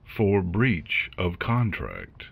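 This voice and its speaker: An actor playing a smug unicorn lawyer, formal, measured and condescending, in a fan fiction audio drama. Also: male voice, low voice, huge pitch swings, vocal fry, slightly rough, no echo, dull audio, full character voice. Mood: coolly accusing. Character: smooth-talking smug male unicorn corporate lawyer.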